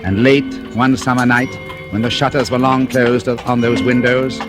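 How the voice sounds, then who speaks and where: Actor as suave, sardonic British antique dealer, old radio. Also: male voice, medium pitch, little pitch movement, moderate pace, natural voice. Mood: wry, brooding. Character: urbane, cynical English antique dealer telling his tale. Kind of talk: 1940s radio suspense acting. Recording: old radio recording, music behind.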